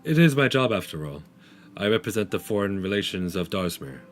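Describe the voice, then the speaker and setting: A man's voice: sits low, sing-song, brisk. A man voicing a courteous, dignified Dragonborn diplomat in a tabletop fantasy game, speaking formally and somewhat hesitantly. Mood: courteous, measured.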